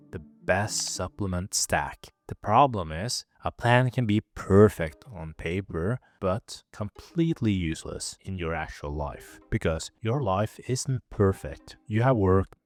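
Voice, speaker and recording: A fitness coach talking straight to camera in a scripted video, direct with a dry, joking manner. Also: male voice, on the low side, no echo.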